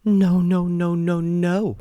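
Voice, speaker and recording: A man's voice: sits high, level tone, slow, warm tone, clear, resonant tone, no echo, dull audio, voice pushed up. A man voicing an anxious, agitated young bride in a romance novel. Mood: panicked. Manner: agitated, questioning.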